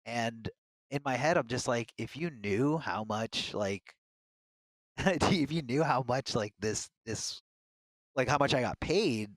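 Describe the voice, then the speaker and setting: A man's voice: medium pitch, lively intonation, slow, dry recording, low-quality recording. A man who works as a game commentator, telling candid stories as a podcast guest. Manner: earnest, candid storytelling. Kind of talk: casual podcast conversation.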